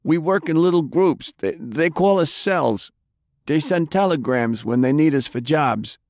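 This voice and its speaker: A man voicing a frightened, fanatical male henchman with a high, whiny, desperate manner. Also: expressive, unhurried, a little mellow, no echo, clean studio sound. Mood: pleading.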